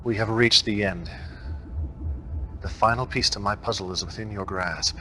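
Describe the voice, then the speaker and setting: Low-voiced, menacing cult leader. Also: male voice, pushed and bright, soft background music. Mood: triumphant, quietly menacing. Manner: grandiose, measured, commanding. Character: charismatic, menacing male cult leader commanding his army. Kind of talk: dramatic video game cutscene briefing.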